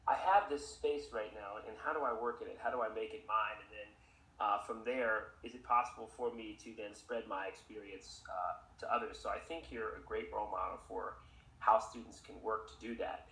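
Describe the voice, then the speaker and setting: A man's voice: fairly low pitch, steady tone, moderate pace, sharp tone. Encouraging male teacher in an echoey room. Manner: encouraging, chatty questions. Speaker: teacher hosting a podcast. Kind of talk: conversational interview.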